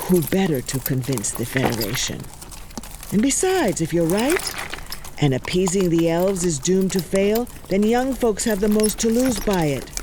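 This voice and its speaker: A woman playing a wise, kindly older village matron in an audio drama, measured, matter-of-fact and firmly encouraging. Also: lowish voice, background noise.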